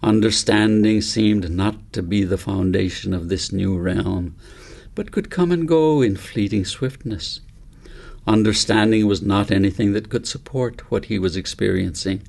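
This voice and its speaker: Low, creaky-voiced man reading a story. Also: darkish tone. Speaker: author reading own book aloud. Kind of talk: audiobook narration of a children's story.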